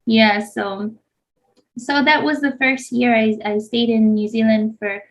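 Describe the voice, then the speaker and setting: A woman's voice: fairly high pitch, steady tone, measured pace. A woman in her thirties who grew up in Hawaii, co-hosting a podcast and telling her story in a casual, rambling way with lots of "like". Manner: rambling, heavy use of "like". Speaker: podcast co-host. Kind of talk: casual chat, personal story. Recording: slightly dull lo-fi sound.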